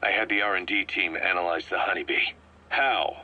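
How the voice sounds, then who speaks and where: An actor playing an embittered, vengeful military commander in a video game, speaking in a clipped, stern, forceful way. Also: male voice, sits low, moderate pace, muffled recording, lightly acted. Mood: businesslike, intense. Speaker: military commander briefing his boss. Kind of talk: acted radio-style briefing in a video game. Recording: band-limited radio tape, faint noise.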